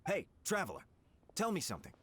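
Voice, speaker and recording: A man's voice: high-pitched, huge pitch swings, fast talker, a bit bright, husky, full character voice. A man playing a proud, impatient young sore loser in a video game, curt and irritable. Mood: sulky, resentful. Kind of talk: video game character acting.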